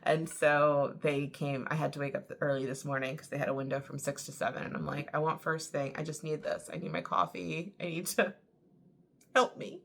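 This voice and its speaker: Young female vlogger, low register, a bit raspy, loose chatty storytelling. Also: fairly even intonation, moderate pace. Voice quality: a little raspy. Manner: chatty storytelling, many "like" fillers. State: tired from early waking. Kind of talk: casual chat to camera.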